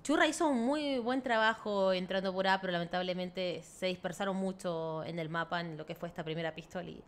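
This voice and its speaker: A young Chilean woman with a Chilean Spanish accent and some Argentine touches, giving live esports commentary from an indoor room at home, quick to burst into excited exclamations. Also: fairly low pitch. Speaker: esports caster. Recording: clear background noise from game sounds.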